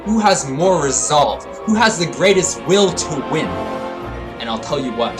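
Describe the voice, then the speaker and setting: A young man narrating a comedic storytime, swinging between hyped rants and low deadpan asides. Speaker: storytelling vlogger.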